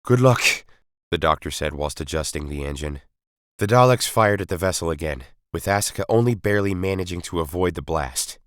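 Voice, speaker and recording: A man's voice: low-pitched, brisk, no echo, clean studio sound. A man reading a sci-fi adventure story as dramatic scripted narration. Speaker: story reader.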